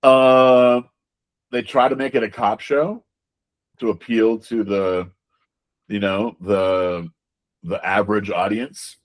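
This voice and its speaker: A man being interviewed as a game designer, talking casually and haltingly, often repeating words as he explains. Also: lowish voice, level tone.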